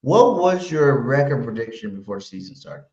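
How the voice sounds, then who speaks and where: A man's voice: medium pitch, big pitch swings, normal speed. Hyped man from LA, roomy lo-fi sound. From Los Angeles, California. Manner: hyped, loud bursts, slangy. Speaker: podcast co-host. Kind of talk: casual sports chat.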